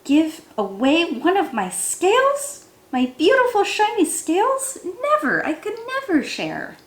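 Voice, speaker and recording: A woman's voice: very high-pitched, huge pitch swings, measured pace, pitched above the performer's own voice, full character voice. A woman voicing a proud, pouty young male fish in a children's storybook, with dramatic delivery. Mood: indignant. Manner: dramatic, exclaiming. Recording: roomy, echoey sound.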